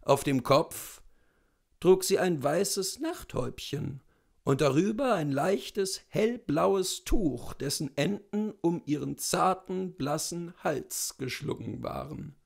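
A man in his thirties narrating a literary story aloud in a measured, expressive way. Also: a little high, gravelly. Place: small indoor room lined with bookshelves.

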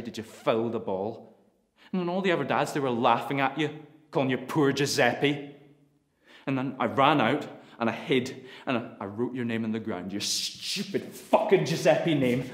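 A man's voice: medium pitch, natural voice. A man playing a bitter, self-loathing petty thief who swears through a raw confession. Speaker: actor in a scene. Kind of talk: dramatic monologue then comic dialogue. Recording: echoey room.

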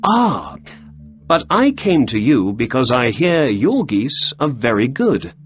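A man's voice: medium pitch, very animated, slow pace, soft background music, pitched above the performer's own voice. A man voicing a keen, polite male detective who asks probing questions.

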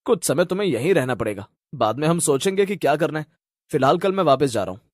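A man voicing a deceitful, dismissive young husband in a cartoon story, explaining himself in smooth talk. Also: on the high side, animated, fast, no echo, studio quality. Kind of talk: animated story dialogue.

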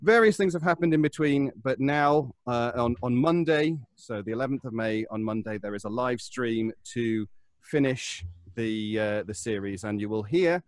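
A man's voice: medium pitch, expressive, normal speed, no room sound. A man speaking casually as a podcast panelist, promoting audio drama on a live call. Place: indoors, on a video call.

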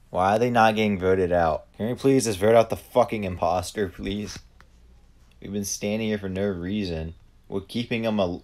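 A man's voice: deep voice, darkish tone. A man giving live gameplay commentary, blunt and profane, ranting at the other players. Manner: profane, blunt, quick to rant. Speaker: gamer streamer.

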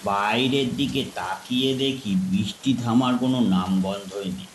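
A man's voice: lowish voice, measured pace. A man narrating a scripted first-person ghost story. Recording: echoey, faint rain noise behind. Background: rain ambience.

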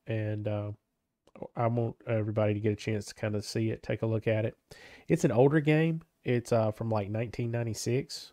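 A man with a stuffy, congested voice talks through a strategy game in a loose, meandering way, tripping over his words and circling back. His tone is dark and mellow, and the recording is dry and close, with no room around him. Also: medium pitch, no room sound. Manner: rambling, hesitant with many restarts. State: stuffed up from a cold. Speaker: gaming channel host. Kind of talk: casual let's-play commentary.

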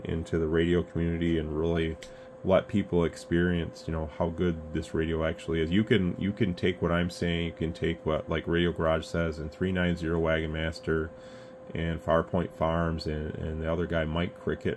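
A man talking casually and earnestly, giving rambling opinions as a hobbyist video reviewer. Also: low voice. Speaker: YouTube radio reviewer. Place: indoor radio workbench room. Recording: clear steady background room noise.

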